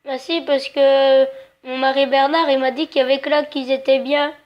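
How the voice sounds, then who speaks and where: Woman putting on a high-pitched, naive, childlike pet owner. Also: little pitch movement, moderate pace, close to an everyday voice. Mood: plaintive, insistent. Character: naive, plaintive woman grieving her little pet dog. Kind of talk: prank phone call. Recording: phone call.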